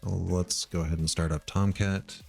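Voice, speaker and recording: A middle-aged man from Missouri thinking aloud while he writes code, halting with many ums and uhs. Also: deep voice, little pitch movement, gravelly, soft background music. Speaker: live-coding streamer.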